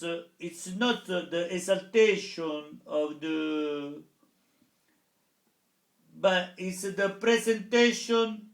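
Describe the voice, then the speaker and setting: A man's voice: high-pitched, a bit bright, roomy sound. A man with an Italian accent, a professor lecturing in English with frequent hesitant fillers. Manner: hesitant, many pauses and fillers. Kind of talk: public lecture.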